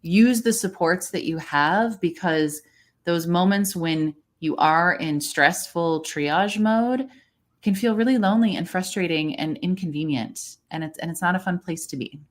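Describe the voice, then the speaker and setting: A middle-aged woman speaking as a voice coach in a podcast conversation, measured and encouraging as she advises listeners. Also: lowish voice.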